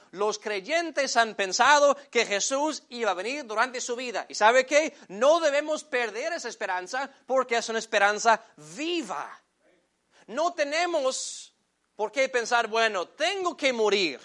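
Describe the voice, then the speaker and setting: A man whose first language is English preaching a sermon in Spanish, addressing the congregation as brothers and slowing down to stress key phrases. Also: very high-pitched, bright, forceful tone. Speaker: pastor.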